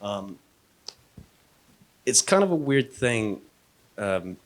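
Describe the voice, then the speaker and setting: A man's voice: fairly low pitch, very animated, very slow. A man from Iceland, giving a wry, somewhat hesitant unscripted answer as a panelist.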